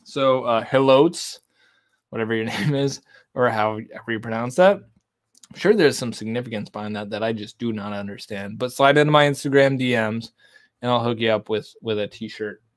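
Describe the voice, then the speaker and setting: A man's voice: medium pitch, moderate pace, no echo, clean studio sound. Chatty man hosting a whiskey livestream. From Texas. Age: 30s. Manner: relaxed, chatty, reading viewer comments aloud. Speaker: whiskey channel host. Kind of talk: casual livestream chat. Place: home bar with lit shelves of bottles. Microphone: desk condenser mic.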